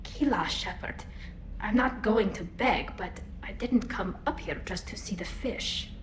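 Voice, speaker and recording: A woman's voice: mid-range voice, a little slow, close to an everyday voice. An actress voicing an earnest, hesitant young alien woman, a self-doubting admiral in a video game cutscene. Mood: tender, hesitant. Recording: roomy echo with clear background noise.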